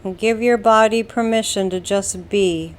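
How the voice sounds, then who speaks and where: Very slow, smooth American woman guiding meditation. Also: medium pitch. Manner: calm, soothing, deliberate instruction with long pauses. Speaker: guided meditation leader. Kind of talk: slow guided meditation narration.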